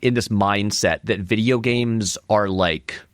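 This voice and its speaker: A man's voice: on the low side, slow pace, clear, resonant tone, no room sound, clean studio sound. A man giving casual, conversational film commentary as an interview guest, thinking aloud in a rambling way and leaning on key words. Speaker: interview guest, filmmaker and video essayist.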